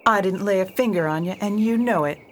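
A woman's voice: mid-range voice, fairly quick, slight background noise, close to an everyday voice. An actress playing a brash young woman who mocks and defies a preacher in a radio drama. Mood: angry. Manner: sarcastic, defiant.

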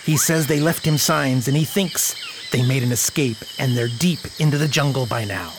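A man playing a matter-of-fact crewman in a pirate radio drama, with a creaky voice. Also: medium pitch, normal speed, noisy background, close to an everyday voice. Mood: reporting. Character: matter-of-fact male crewman reporting to captain.

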